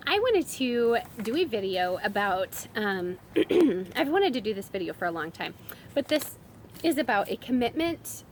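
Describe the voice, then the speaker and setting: A woman's voice: fairly high pitch, very animated, normal speed, a little hiss or hum. A woman in her thirties with a summer cold, chatting casually on her vlog as a homeschooling mom. She rambles a little, with lots of fillers and restarts. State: has a summer cold, voice a bit strained.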